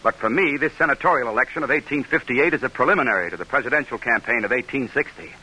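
An American actor playing a proud, shrewd middle-aged senator in an old-time radio drama. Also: male voice, medium pitch, animated, fast talker, bright, forceful tone, slightly rough, full character voice, poor audio. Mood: worried, calculating. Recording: vintage radio broadcast recording.

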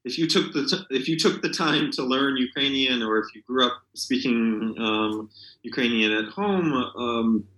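A man's voice: mid-range voice, moderate pace, sharp tone. Hesitant man in an echoey room. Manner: hesitant, many fillers and restarts. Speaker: literary translator. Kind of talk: conversational interview answers.

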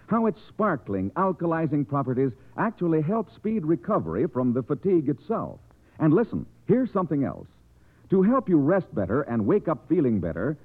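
An American man announcing a 1940s radio commercial in a persuasive, emphatic sales style. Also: mid-range voice. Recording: lo-fi vintage radio broadcast recording.

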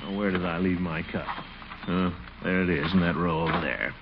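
An actor playing a blustery, put-upon, doting middle-aged uncle in 1940s radio comedy style, exclaiming, sighing and muttering to himself. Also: male voice, sits low, fairly even intonation, normal speed. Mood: weary. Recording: noisy, muffled old radio recording.

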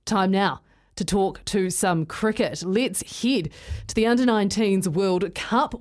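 A woman hosting a sports radio show, brisk and upbeat as she reads promos and introduces guests. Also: mid-range voice, a little mellow.

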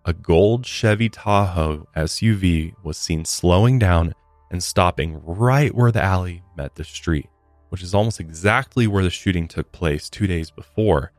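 A man's voice: low-pitched, unhurried, no echo, faint music behind. A man co-hosting a true crime podcast, recounting case details in a casual, conversational way with blunt asides.

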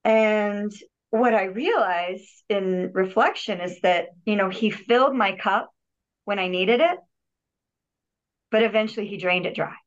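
A woman's voice: medium pitch, unhurried. Earnest woman on dull lo-fi audio. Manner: earnest, reflective, with frequent restarts and repeated words. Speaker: podcast guest, healer and yogi. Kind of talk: personal story in an interview.

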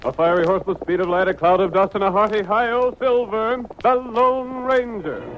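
A man's voice: sits high, fairly even intonation, moderate pace, slight background noise. A man with an American accent narrating a vintage radio western in a dramatic, declamatory announcer style. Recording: muffled vintage radio recording.

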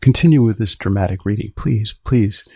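A male podcast host with plenty of vocal fry, recorded very dry. He interviews in a deadpan, deliberate way. His questions and prompts are short, and he likes to repeat a phrase. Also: lowish voice, no room sound.

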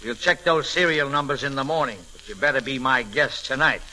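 An actor playing a tough, decisive sheriff in an old radio drama, forceful, folksy and wry. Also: male voice, mid-range voice, brisk. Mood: wry authority. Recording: noisy vintage radio recording.

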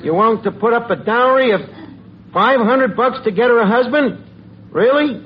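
A man's voice: very high voice, normal speed, a crowd in the background. A man playing a wisecracking, streetwise tavern manager with a New York accent and slangy bad grammar in a 1940s radio comedy. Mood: brash, wisecracking. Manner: folksy wisecracks in slangy, ungrammatical talk. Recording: muffled, noisy old radio recording.

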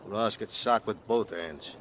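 An actor playing a tough, weary boxing-gym boss with punchy streetwise delivery in an old-time radio crime drama. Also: male voice, on the low side, measured pace, fairly bright tone. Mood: wry, subdued. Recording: slightly noisy vintage radio recording.

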